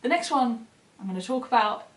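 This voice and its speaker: A woman's voice: on the high side, expressive, brisk, roomy sound. A woman co-hosting a casual book chat, speaking in an enthusiastic, conversational way as she gives recommendations. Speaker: book reviewer co-hosting short fiction recommendations.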